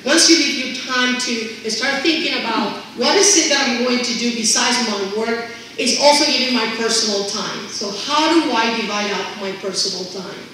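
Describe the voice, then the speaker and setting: A woman's voice: fairly high pitch, normal speed, pushed and bright. A middle-aged woman from Venezuela with a Venezuelan Spanish accent, a native Spanish speaker, telling her own story in a personal talk as a community engagement director. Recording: echoey room, distant mic, faint noise.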